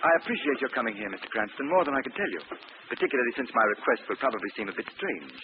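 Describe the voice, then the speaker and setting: A man's voice: medium pitch, very animated, rapid-fire, natural voice. Polite, anxious academic, male actor, formal and hesitant, dull noisy old radio sound. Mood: earnest, anxious. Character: earnest, courteous male professor, anxious scientist. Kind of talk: 1940s radio acting.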